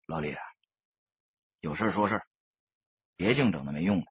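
A man acting a gruff, short-tempered, wary gangster who mutters curtly in an audiobook. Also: low-pitched, lively intonation, normal speed, no room sound, lo-fi sound, pitched below the performer's own voice, full character voice. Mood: guarded, dismissive. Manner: curt, muttered.

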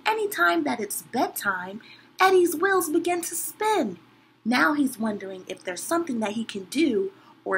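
A woman's voice: high voice, a bit bright, slight background noise, low-quality recording. A young woman hosting a children's story show with a playful, scripted introduction. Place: small indoor decorated room.

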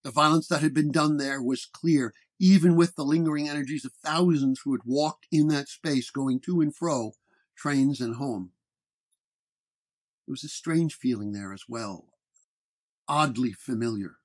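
A man reading a scripted first-person detective horror story in a measured storytelling manner. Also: medium pitch. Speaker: narrator.

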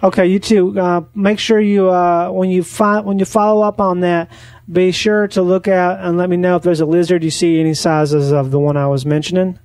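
High-pitched man, naive rambling caller. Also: flat delivery, a little mellow, dry recording. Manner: naive, rambling, slow on the uptake. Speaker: prank caller on a comedy podcast. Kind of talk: deadpan prank phone call.

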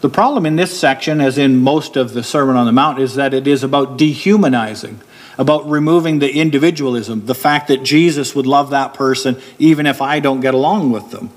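A middle-aged man delivers a sermon at a church pulpit, with his voice taken through a pulpit microphone. He explains his points steadily and slows down deliberately when he wants one to land. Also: medium pitch. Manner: steady, explanatory preaching, slowing down to stress key points. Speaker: preacher. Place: church hall with a wooden pulpit.

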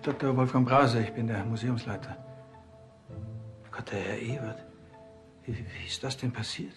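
An actor playing a polite, educated museum director, speaking formally and precisely in a TV crime drama. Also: male voice, medium pitch, fairly even intonation, normal speed, over music, natural voice. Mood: shaken, formal. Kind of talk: television crime comedy acting.

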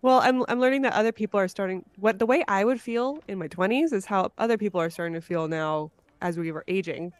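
A woman's voice: mid-range voice, fast, few pauses, no echo. A woman hosting a podcast in a casual, conversational interview style. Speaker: podcast host.

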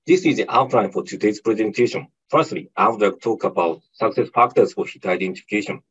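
Low husky man on muffled audio. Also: a bit bright, a little hiss or hum. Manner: read-out, measured delivery. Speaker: senior director presenter. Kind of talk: webinar presentation. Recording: dull muffled webinar audio.

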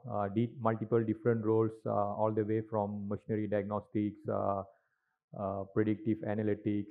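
A middle-aged man giving a conference talk on stage into a handheld mic, with lots of "uh" and "right?" tags. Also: on the low side. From Malaysia. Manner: filler-heavy, frequent tag questions. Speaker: industry speaker at a conference. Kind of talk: presentation talk. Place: large conference hall stage. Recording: tinny, lo-fi hall recording.

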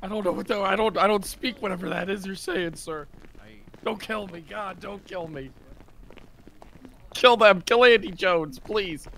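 A man playing a whiny gravelly peasant. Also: high-pitched, unhurried, bright, forceful tone, a little hiss or hum, exaggerated voice. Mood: panicked. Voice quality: pleading, nervous. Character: whiny male peasant player with bad knee.

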